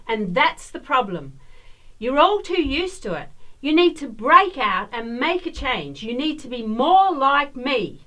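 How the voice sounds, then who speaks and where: A woman's voice: high voice, very animated, measured pace, sharp tone, exaggerated voice, low-quality recording. A woman playing a bossy, scornful actress who takes charge in a comic radio play, imperious and cutting.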